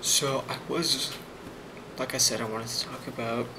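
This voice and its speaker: A man playing a friendly, rambling office boss in an ASMR role play, speaking softly and hesitantly with a reassuring manner and a creaky voice. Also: mid-range voice, steady tone, measured pace, lightly acted. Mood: calm, reassuring. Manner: soft-spoken, hesitant, reassuring. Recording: loud constant background noise.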